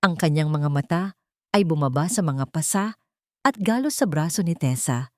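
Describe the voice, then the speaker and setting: Female storyteller, slightly low pitch, dry close sound, measured literary delivery, scripted dramatic narration. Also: expressive, mellow, dry recording.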